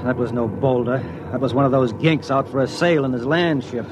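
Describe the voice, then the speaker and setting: A man's voice: mid-range voice, steady tone, brisk, background noise, lightly acted. An actor playing a rugged, determined spaceman and narrator in a 1950s radio drama. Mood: brisk, commanding. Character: rugged, determined spaceman narrating his dangerous planetary expedition. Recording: dull old radio recording.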